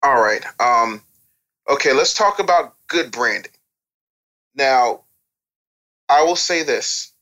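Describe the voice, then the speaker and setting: A man's voice: mid-range voice, expressive, slow pace, long pauses, pushed and bright. A man speaking as a podcast guest, telling candid anecdotes in casual conversation and acting out the dialogue. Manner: candid storyteller who acts out dialogue.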